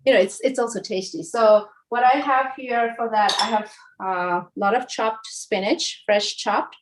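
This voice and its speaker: A woman in her forties from Bangladesh, explaining home recipes in a casual, halting way with lots of fillers and restarts. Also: a little high, noisy background. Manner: hesitant, many fillers and restarts. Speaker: home cook guest. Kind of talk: casual cooking demonstration.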